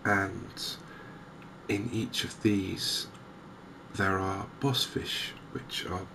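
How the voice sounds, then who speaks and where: A man giving softly spoken, gentle ASMR game commentary in an unhurried, hesitant way. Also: low-pitched, level tone, noisy background. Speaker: host of a cozy gaming channel.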